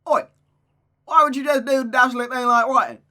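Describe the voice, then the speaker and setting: Someone doing a cheeky young girl from a novel, loud n high, brash, exclaims a lot. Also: steady tone, brisk. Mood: teasing. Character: cheeky young female friend, brash, lively, pianist. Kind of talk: character voice in read-aloud novel.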